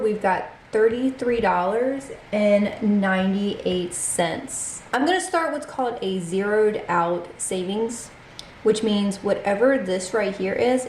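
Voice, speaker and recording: A woman's voice: mid-range voice, darkish tone, reverberant, a little hiss or hum, low-quality recording. A congested woman hosting her own channel, chatting casually as she walks through her budget and reads out figures.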